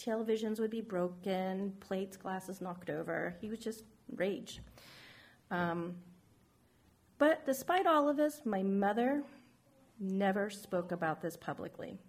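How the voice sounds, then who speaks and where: A woman's voice: medium pitch, expressive, unhurried, a little mellow. A middle-aged woman from San Francisco giving a personal testimony, speaking frankly and reflectively about her life. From San Francisco, United States. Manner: frank and reflective, plain spoken.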